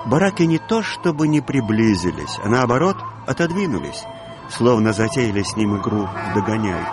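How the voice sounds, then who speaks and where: A man narrating a literary radio play in an expressive storytelling manner, with music behind him. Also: on the low side, normal speed.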